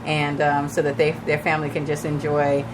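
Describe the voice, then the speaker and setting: A woman radio host with a raspy, slightly shaky voice from recently losing it, chatting casually and advising listeners directly. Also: low voice, monotone, brisk, background noise. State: recovering from lost voice, a little shaky. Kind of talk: casual radio talk on real estate. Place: radio studio.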